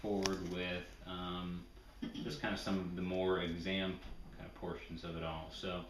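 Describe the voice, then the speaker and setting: A man's voice: low voice, flat delivery, unhurried. A man giving a swallowing exam in a casual, friendly way, with many ums and uhs. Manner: friendly, hesitant, many fillers. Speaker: clinician doing a swallowing exam. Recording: echoey room, far from the mic, noise.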